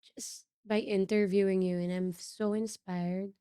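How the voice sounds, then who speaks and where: Slow, effusive Filipino woman host. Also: medium pitch, level tone, dark tone, clean tone, no echo, studio quality. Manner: effusive, mixes Tagalog into English. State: losing her voice. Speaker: podcast host. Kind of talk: casual interview chat.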